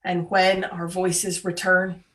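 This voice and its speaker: Grieving female disciple read by a woman, devoted witness in a church drama, slow sorrowful delivery, set back from the mic. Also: lowish voice, completely flat delivery, fairly bright tone. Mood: grieving, resolute. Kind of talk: dramatic monologue reading in worship. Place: remote, on a Zoom call. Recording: distant microphone, video call audio.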